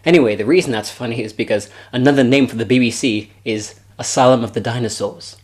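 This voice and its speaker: A man performing a scripted comedy parody in a sarcastic, deadpan manner. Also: mid-range voice, fast talker. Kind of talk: scripted comedy sketch voices and commentary.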